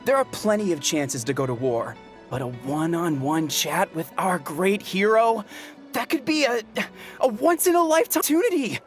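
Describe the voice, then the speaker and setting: An actor voicing an excitable young warrior in a video game, bashful yet bold, earnest and gushing. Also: medium pitch, moderate pace, close to an everyday voice. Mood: panicky. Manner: stammering when flustered, gushing, earnest. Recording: music behind the voice.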